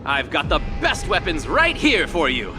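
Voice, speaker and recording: A man's voice: a little high, huge pitch swings, moderate pace, music in the background. An actor playing a boisterous, fawning weapons merchant with showy salesman patter in a video game. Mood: loud hawking.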